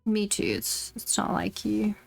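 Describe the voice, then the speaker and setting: Female streamer, average pitch, informal live commentary with chatty asides, light game music underneath. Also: sing-song, a little slow, gravelly. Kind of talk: casual live gameplay commentary. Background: video game music.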